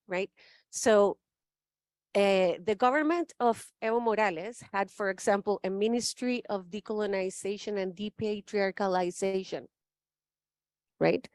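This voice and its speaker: A woman's voice: mid-range voice, darkish tone, no echo. Woman speaking at a forum. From Chile. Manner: thoughtful, frequent "right?" tags, emphatic. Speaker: panelist. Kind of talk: spoken forum remarks.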